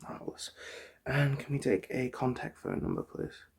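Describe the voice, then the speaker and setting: A soft-spoken, often whispering person doing an ASMR eye exam roleplay, chatting gently and politely without hurry, close to the microphone. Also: medium pitch, lively intonation, vocal fry. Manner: gentle, unhurried, polite chatty bedside patter. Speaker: ASMR performer playing an eye doctor.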